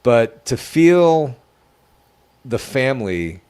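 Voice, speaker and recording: A man telling an emotional personal story in casual podcast talk, halting and earnest. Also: mid-range voice, sing-song, darkish tone, clear, resonant tone. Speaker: podcast co-host.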